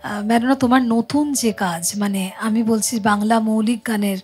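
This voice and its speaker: A woman's voice: on the high side, normal speed, darkish tone, faint music behind. A woman, hosting a conversational interview. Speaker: show host interviewing a singer.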